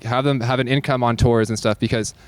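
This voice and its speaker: A man's voice: mid-range voice, fairly even intonation, fairly quick. A man chatting casually in an interview, earnest and informal, thinking aloud as he goes. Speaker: cassette label owner and musician, interview guest.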